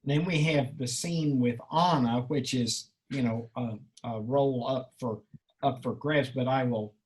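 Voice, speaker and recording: A man's voice: mid-range voice, measured pace, darkish tone, rough recording. A man casually hosting a group reading, thinking aloud and encouraging the readers as he organizes parts and stage directions.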